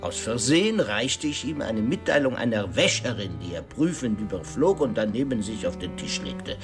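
An actor playing a shrewd, self-assured detective in a radio drama, laying out a case with rhetorical questions and emphatic pauses. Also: male voice, fairly low pitch, big pitch swings, music in the background. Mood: measured recounting.

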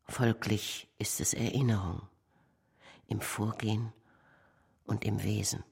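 A woman performing a contemplative narrator in a poetic radio play, with a measured, solemn delivery. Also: very deep voice, flat delivery, creaky, a little mellow, breathy edge, exaggerated voice. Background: music.

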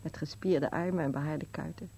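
An actress playing a nagging, wistful old wife in a Dutch radio play, terse and insistent, with a husky voice. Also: female voice, low-pitched, steady tone, normal speed, dark tone, heavily acted. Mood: wistful, stubborn. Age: older.

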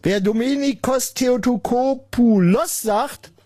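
Sarcastic radio host, husky. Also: male voice, very high voice, slow pace, dry recording. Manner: sarcastic, rambling, jokey, bursts into mock shouting. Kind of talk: irreverent late-night radio call-in. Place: radio studio. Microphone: studio microphone.

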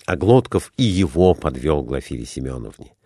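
A man narrating literary fiction as an audiobook reader, in an expressive, theatrical and deliberate storytelling style. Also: extremely low pitch, slightly rough, no room sound, clean studio sound.

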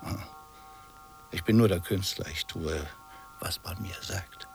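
An actor playing a calm, reserved, quietly teasing portrait painter in a radio play, speaking in a measured, understated way. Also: male voice, low voice, creaky, soft background music. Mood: calm, enigmatic. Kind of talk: German radio play acting.

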